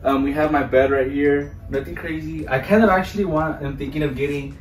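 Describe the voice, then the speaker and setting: A man's voice: on the high side, steady tone, brisk, recorded from a distance, faint music behind. A man, chatting casually on a house tour and slipping Spanish words into his English. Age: 20s. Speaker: vlogger. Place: indoors, small bathrooms and bedrooms of a house. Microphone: handheld camera or phone held by another person, a few feet away. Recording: roomy echo, voice away from the mic.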